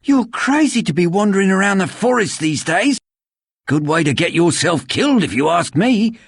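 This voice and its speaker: An actor playing a gruff townsman giving warnings in a fantasy game, with a blunt, colloquial manner. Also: high-pitched, big pitch swings, moderate pace, no room sound, full character voice.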